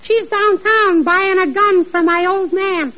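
A woman voicing a mischievous little boy in a 1940s radio comedy, with a high childish voice and cheeky deadpan punchlines. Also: measured pace. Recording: dull 1940s radio broadcast with studio audience.

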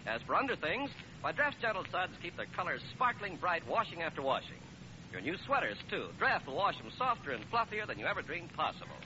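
Lively high-voiced vintage radio announcer man. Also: a little fast. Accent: American. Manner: chummy, emphatic sales delivery. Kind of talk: 1940s radio commercial announcing. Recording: muffled, noisy old radio recording.

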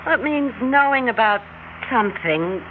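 An actress with an American accent playing a calm suburban housewife and mother who has hunches, in a radio drama. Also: fairly high pitch, normal speed, noisy background, lightly acted. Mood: composed. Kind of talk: radio drama narration and dialogue. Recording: muffled radio recording with prominent music.

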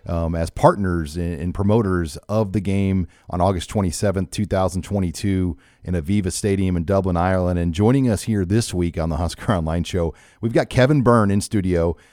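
A man's voice: low voice, fairly quick, hardly any pauses, warm tone, dry recording, studio quality. A man with an American accent hosting a conversational sports talk show. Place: studio.

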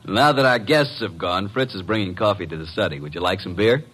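An actor with an American accent playing a brisk, wisecracking detective's assistant in an old radio mystery, plainspoken and easygoing. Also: male voice, sits low, fairly bright tone. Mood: relaxed, curious. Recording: noisy vintage radio recording.

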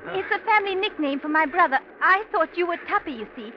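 A polite, chatty young British woman with a high voice. She speaks in the manner of old radio drama. The sound is dull, with a layer of noise. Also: animated, fast talker. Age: young adult. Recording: muffled, noisy old radio recording.

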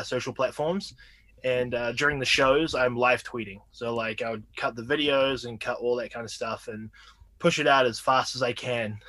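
A man in his thirties with a New Zealand accent talks casually as a guest from home. He is easygoing, laughs often and calls people "man" and "dude". His voice sits in an ordinary middle pitch. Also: bright, forceful tone. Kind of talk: casual interview chat.

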